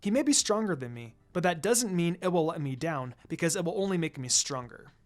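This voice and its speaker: A narrator reads a scripted story in the first person. The pitch sits in a middle register and moves in an animated way, with music playing faintly behind the voice. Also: brisk. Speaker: fan fiction narrator.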